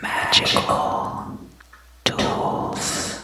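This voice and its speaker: A whispering host leading an ASMR guided meditation in an unvoiced, breathy voice, soothingly repeating words and phrases. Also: drawn-out words, background noise.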